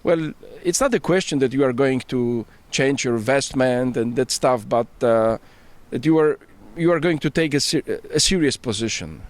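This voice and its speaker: Middle-aged Polish-accented priest, thoughtful. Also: male voice, mid-range voice, moderate pace, hardly any pauses. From Poland. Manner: earnest, thoughtful, searching for English words. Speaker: priest and bishop-elect, interviewee. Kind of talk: casual interview. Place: rooftop outdoors.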